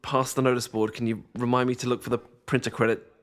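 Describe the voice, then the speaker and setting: A man's voice: mid-range voice, little pitch movement, fast, natural voice. A man performs a young British student with a mid-range voice, secretly sweet on his best mate. He speaks in a hesitant, stumbling way, full of nervous self-deprecation. It plays as a casual, intimate ASMR audio roleplay. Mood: wry, rambling.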